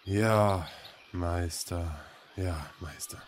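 A man's voice: deep voice, drawn-out words. A man playing a meek young wizard's apprentice, hesitant and submissive, in a fantasy parody audio drama. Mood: crushed.

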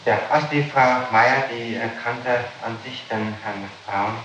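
A man working as a police interrogator, speaking in halting, formal officialese as he questions and reads out statements, on an old 1960s tape. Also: medium pitch, heavy reverb, muffled recording, background noise. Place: reverberant room indoors.

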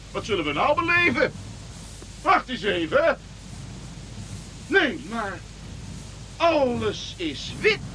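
High-voiced, bewildered old king. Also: background noise, full character voice. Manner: theatrical, exclaiming and muttering to himself. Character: grumbling, bewildered old king roused from bed. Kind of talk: radio play acting.

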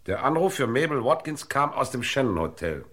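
An actor playing a brisk, shrewd police inspector in a 1960s German radio crime play, clipped and authoritative. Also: male voice, medium pitch, very animated, a bit bright. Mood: stern, accusing.

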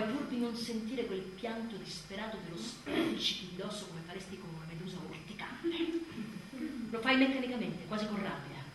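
A woman's voice: mid-range voice, natural voice. An actress playing an exhausted, anxious young mother in a confessional radio theatre monologue, moving between intimate confession and sardonic outburst. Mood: guilty, pained. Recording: very echoey stage, distant mic, faint noise.

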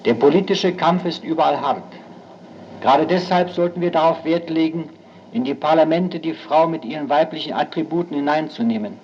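A man delivers a formal, declamatory political speech. The recording is old, dull and lo-fi, with a faint hiss. His voice sits somewhat high. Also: a little fast, a little mellow.

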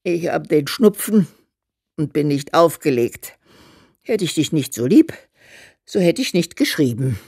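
An expressive, unhurried elderly mother. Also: low-pitched, slightly rough, dry recording, clean studio sound, full character voice. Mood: grumbling, fond. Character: plain-spoken, fond, scolding elderly mother of a poet. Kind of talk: dramatised letter reading in a German radio play.

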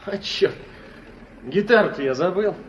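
An actor playing a good-natured, easygoing young combine operator, talking colloquially in a Soviet radio drama. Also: male voice, high-pitched, animated, unhurried, slight background noise. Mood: casual. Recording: dull old radio recording, crowd behind.

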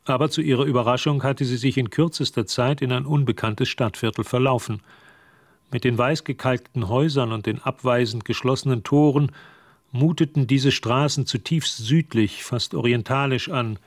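Casting: male storyteller, medium pitch with gravelly edge, composed literary radio narration. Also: moderate pace, dry recording, studio quality.

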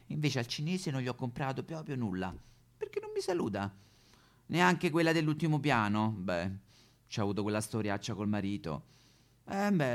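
Coy gossipy neighbour, swooping pitch. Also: male voice. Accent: Roman. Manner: coy, gossipy, with giggles and verbal tics. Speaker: solo stage performer. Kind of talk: theatrical comic character monologue.

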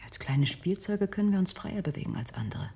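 A defiant enslaved woman accuses her oppressors in a science fiction radio play. Her voice is extremely husky and breathy. She declaims in measured, vengeful phrases. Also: medium pitch, expressive, darkish tone. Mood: resolute. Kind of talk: 1970s German radio drama acting.

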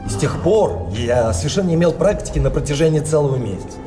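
Male actor as eager gambler, highish pitch, pleading and exclamatory, theatrical audio drama, music underneath. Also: expressive, quick pace, natural voice. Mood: excited longing. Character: eager, restless male card sharp hungry for a game. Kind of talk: theatrical audio play acting.

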